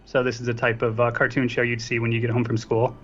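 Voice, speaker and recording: A man's voice: medium pitch, monotone, fast, faint music behind. A man chatting casually as a guest on a podcast. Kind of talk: casual chat. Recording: slightly dull lo-fi mic sound.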